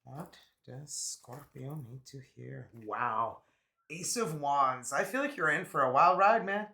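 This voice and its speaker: A man gives a relaxed, chatty tarot reading and laughs easily as he goes. His voice sits a little high and sounds bright and forward. A cold has left it slightly raspy. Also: unhurried. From Canada. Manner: laid-back, chatty, laughs often. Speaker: tarot reader.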